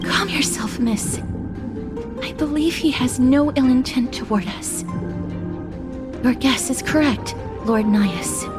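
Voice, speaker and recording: A frail, gentle mystic in a video game. Her high voice is soft, solemn and formal, unfolding slowly over prominent music. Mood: calm, earnest. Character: gentle, composed, frail mystical woman who calls to souls.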